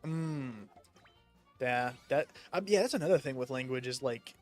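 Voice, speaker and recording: A man's voice: mid-range voice, moderate pace, a little mellow, faint music behind. A young man from the United States chatting casually while gaming, rambling with lots of fillers and casual swearing. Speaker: streamer.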